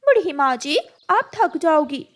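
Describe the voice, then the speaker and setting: A woman's voice: very high-pitched, big pitch swings, a little slow, voice pushed up, exaggerated voice. A woman voicing a greedy, bullying female crow in a cartoon, with a pushy, demanding manner. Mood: sly coaxing.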